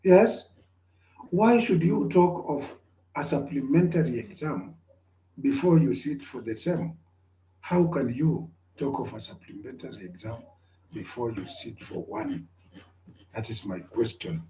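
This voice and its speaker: A man's voice: mid-range voice, expressive, unhurried, warm tone, reverberant, low-quality recording. A man giving an online class lecture, repeating himself often and checking whether his students can hear him. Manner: repetitive, checks on listeners.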